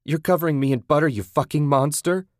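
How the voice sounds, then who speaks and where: A man's voice: medium pitch, big pitch swings, a little slow, darkish tone, no echo, clean studio sound, pitched above the performer's own voice. A man voicing a terrified but defiant captive woman in a horror audiobook, strained and whining in protest. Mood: defiant outrage.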